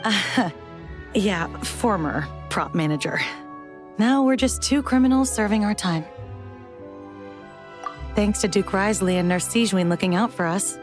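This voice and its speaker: A woman's voice: medium pitch, unhurried, pauses often, faint music behind, close to an everyday voice. A woman voicing a good-humored former prop manager turned prisoner in a video game. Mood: wry, upbeat.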